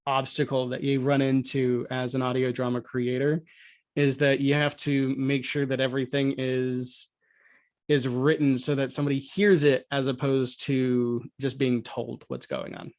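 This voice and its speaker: Male podcast guest, conversational and somewhat halting explainer, recorded dry. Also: mid-range voice, little pitch movement, darkish tone, dry recording. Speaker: podcast guest, audio drama producer. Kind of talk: casual explanatory chat.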